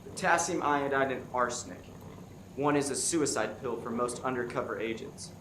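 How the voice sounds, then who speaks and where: A man's voice: medium pitch, fairly bright tone, natural voice. A man playing an earnest, dramatic FBI agent solving a murder in a comic radio play, recorded in an echoey room with background noise. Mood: explaining. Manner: deliberate, dramatic deducing. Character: one-eyed male FBI agent turned earnest, dramatic sleuth. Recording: roomy, echoey stage with clear background noise.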